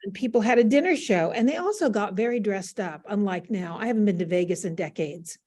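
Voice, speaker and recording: A woman's voice: medium pitch, expressive, fairly quick, a little mellow. An American woman in her seventies, frank and matter-of-fact, reminiscing conversationally as an interview guest on a video call. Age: 70s. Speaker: interview guest, former stage performer.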